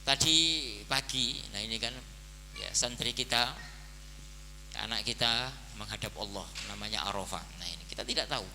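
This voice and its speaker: A man in his forties, head of a boarding school, preaching slowly to his students and often saying "nah, ini" to fill his pauses. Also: fairly low pitch, bright, forceful tone. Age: 40s. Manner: slow, measured preaching with the repeated filler "nah, ini". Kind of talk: birthday speech and sermon to students. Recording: hall reverb with faint noise.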